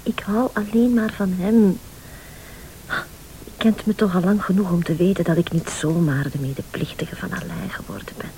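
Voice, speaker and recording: An actress playing a devoted, defiant wife in a radio play, earnest and emotional, often sinking to a breathy near-whisper. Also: female voice, fairly low pitch, steady tone, moderate pace, mellow, a little hiss or hum, lightly acted, poor audio. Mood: torn, pleading. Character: devoted, defiant wealthy wife caught in a plot.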